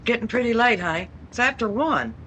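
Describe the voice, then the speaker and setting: A woman plays a plainclothes policewoman with a bright, crisp voice and a dry, wry way of answering. She tosses off her lines with a wisecracking edge, in the style of an old radio play. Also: on the high side, animated, a little fast, a little hiss or hum. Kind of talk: 1940s radio drama acting.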